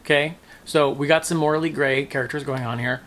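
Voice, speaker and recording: A man's voice: on the high side, steady tone, a little fast, a bit bright. A man talking casually and emphatically in a book review vlog, often musing aloud and second-guessing himself.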